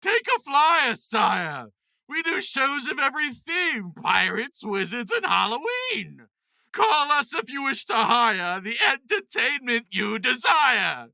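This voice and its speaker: A man's voice: extremely high pitch, big pitch swings, takes it slowly, creaky, pushed and bright, dry recording, pitched above the performer's own voice, exaggerated voice, low-quality recording. A man voicing a theatrical costumed wizard hawker, declaiming pompously in mock-medieval style for an audiobook. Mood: grandly hamming. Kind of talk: acted audiobook dialogue.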